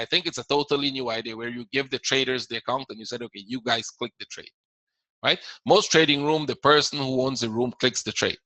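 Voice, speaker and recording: A man's voice: medium pitch, bright, forceful tone, no echo, low-quality recording. A man with a Caribbean accent hosting a live trading webinar, answering viewer questions in a casual explaining manner with frequent repeated words.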